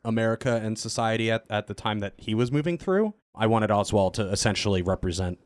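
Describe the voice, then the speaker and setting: A man with a fairly low voice chats as a cast member in a relaxed Q&A. He is informal and jokey, working out his answers aloud. The sound is very dry, with no room on it. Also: a little fast, no echo. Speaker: cast member of an improvised audio drama, answering questions. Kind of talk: casual Q&A chat.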